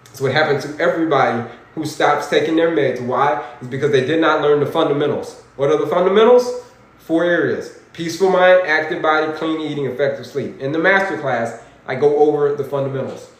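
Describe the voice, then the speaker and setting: Man, 40s, livestream health coach; animated, preachy, repeats himself; slightly high pitch; very reverberant room. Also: a little fast. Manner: animated, repetitive, preachy, talks to viewers as folks. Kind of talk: energetic motivational livestream, reading chat comments. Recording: very echoey room.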